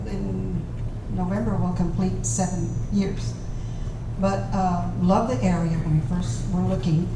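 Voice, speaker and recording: Earnest woman speaking slowly in echoey hall. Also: mid-range voice, background noise. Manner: earnest, plain-spoken, halting with fillers. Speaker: resident giving public comment. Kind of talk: public comment at a town meeting. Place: large community hall with a big audience. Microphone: room recording from a distance. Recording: echoey hall recorded from far off.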